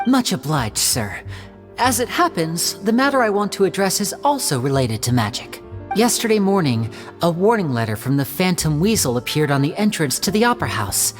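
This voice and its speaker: Polite young stage magician. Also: male voice, a little high, normal speed. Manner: courteous, smoothly flattering. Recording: reverb with game music.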